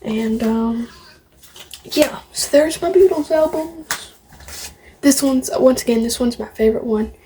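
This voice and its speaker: Higher-voiced woman, slow rambling show-and-tell. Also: warm tone, slight background noise. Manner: rambling, opinionated, repeats phrases. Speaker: vlogger showing a music collection. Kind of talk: casual show-and-tell to camera.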